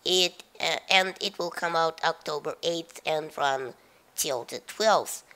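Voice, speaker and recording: A gaming YouTuber woman with a very low voice and a sharp, forceful edge to it. She sounds stuffed up from a cold. She talks through the news in a halting way, full of fillers. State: nasal from a head cold.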